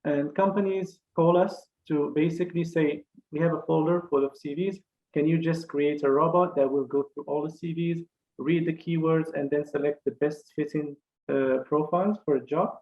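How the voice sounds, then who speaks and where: A man's voice: on the high side, steady tone, a little mellow. Hesitant young man on a muffled call. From Morocco. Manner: halting, many uh fillers and restarts. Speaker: career fair speaker. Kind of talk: online career talk presentation.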